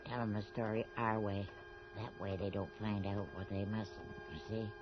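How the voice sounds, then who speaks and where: An actress playing a shrewd, bossy small-town storekeeper with a folksy, insistent way of talking in a radio drama. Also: female voice, a bass voice, level tone, normal speed, over music. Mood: scolding, anxious. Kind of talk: 1980s radio drama acting. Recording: muffled old radio broadcast.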